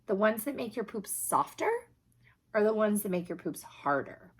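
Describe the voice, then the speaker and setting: A woman's voice: medium pitch, animated, normal speed, slightly rough. A woman voicing a kindly children's doctor in a picture book, explaining things patiently and encouragingly. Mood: reassuring.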